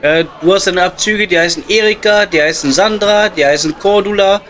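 A man's voice: high-pitched, a little fast, fairly bright tone. A man playing a crude, jovial coal miner with a Ruhr German accent in an improvised comedy audio play. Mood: blunt banter. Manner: blunt, joking, slangy. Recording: music behind the voice.

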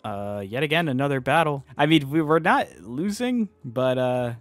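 A young man with a hoarse, fading voice from a cold, casually commenting on his own online case-opening battles, chatty and excitable, then dropping to a mutter. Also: mid-range voice, normal speed, dry recording. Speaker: online gambling video creator. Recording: faint music behind.

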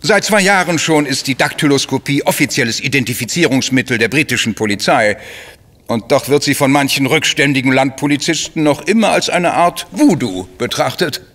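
A man's voice: medium pitch, expressive, brisk, a bit bright. A man playing a suave, self-important crime writer in a German radio drama, eloquent and effusively polite. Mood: disdainful. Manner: pompous, florid, eloquent.

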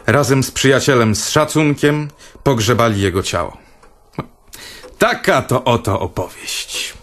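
A self-important older brother in a radio play, theatrical storyteller, medium pitch voice. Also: male voice, lively intonation, unhurried, fairly bright tone. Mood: grandiose storytelling. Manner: pompous, storytelling. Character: vain, theatrical older brother telling tales at home.

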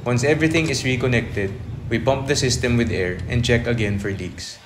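A man's voice: mid-range voice, brisk, background noise. A man giving a scripted, step-by-step voice-over for a repair tutorial, explaining each stage evenly.

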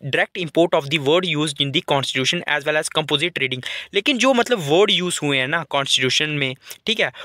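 Guy doing a line by line editorial lesson, reads english slow then explains in hindi, bright voice, dry close sound. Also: fairly high pitch, no room sound.

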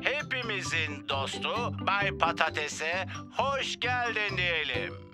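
A man's voice: high voice, takes it slowly, over music. A man voicing a cheerful, showy potato TV presenter in a children's cartoon dub. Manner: showy and animated. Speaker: TV presenter character. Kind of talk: cartoon voice acting.

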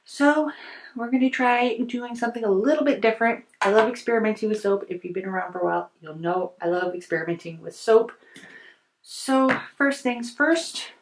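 A middle-aged woman hosting a soap-making video. She talks through each step in a chatty way while she mixes at her kitchen table, sometimes softly and sometimes brightly. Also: mid-range voice, a little hiss or hum. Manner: chatty, thinks aloud while mixing, varies from soft to lively. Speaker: soap-making channel host. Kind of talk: casual how-to narration while working. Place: home kitchen table, overhead camera.

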